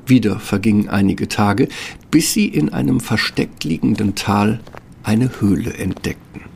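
A man's voice: on the low side, measured pace, gravelly, a little mellow, slight background noise. A man narrating a fairy tale as a scripted storyteller. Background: music.

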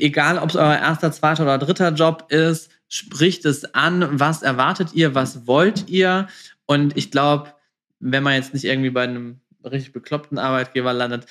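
A man's voice: medium pitch, steady tone, measured pace, fairly bright tone. Casual male podcast host, colloquial delivery. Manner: colloquial, addresses listeners directly, English business slang. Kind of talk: casual interview chat.

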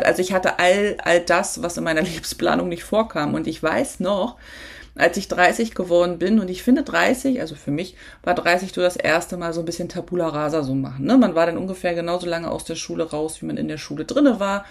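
A woman's voice: low-pitched, a little mellow. A woman hosting a solo podcast, talking casually and reflectively straight to the listener, with frequent filler sounds and self-corrections. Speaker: podcast host.

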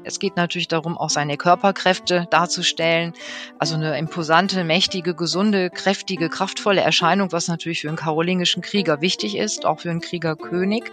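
A woman's voice: on the low side, fairly even intonation, a little fast, a bit bright, soft background music. A woman speaking as an expert in an interview, explaining off the cuff with informal asides. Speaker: expert interviewee, medieval historian. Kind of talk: spontaneous explanatory interview talk.

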